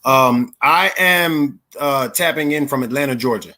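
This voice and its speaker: A man's voice: mid-range voice, a little slow, sharp tone. American man in his thirties, mid-range voice. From Philadelphia, United States. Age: 30s. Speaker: interview guest, performer. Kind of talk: conversational personal storytelling.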